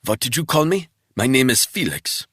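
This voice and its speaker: A man's voice: mid-range voice, expressive, moderate pace, fairly bright tone, no room sound, pitched above the performer's own voice. A man voicing a panicked middle-aged male spy who pleads and then sneers at his captor, in a dry, close audiobook reading. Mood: taunting.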